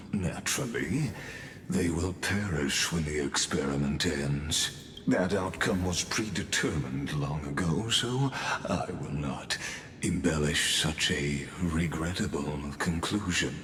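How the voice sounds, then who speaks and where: An actor playing a suave, scheming scholar mastermind in a video game, speaking in a courtly, theatrical and condescending way. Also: male voice, rumbling low, slow pace, echoey room, background noise. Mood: detached, courteous. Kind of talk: scripted video game voice acting.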